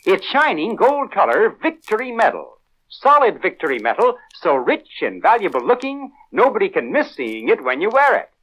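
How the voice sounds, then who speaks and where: High-pitched, animated American radio announcer man. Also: moderate pace. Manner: enthusiastic, emphatic, boyish exclamations. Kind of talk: 1940s children's radio announcing. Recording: dull old 1940s radio recording.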